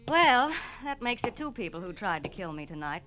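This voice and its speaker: A woman's voice: mid-range voice, big pitch swings, normal speed, fairly bright tone. A woman playing an earnest wife caught in intrigue, in 1940s radio drama style. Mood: earnest, relieved. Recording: dull vintage radio recording.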